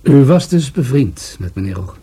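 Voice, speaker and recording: An actor playing a probing, dryly skeptical police detective in a radio play, questioning in a measured way. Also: male voice, medium pitch, very animated, mellow, husky. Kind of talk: Dutch radio play acting.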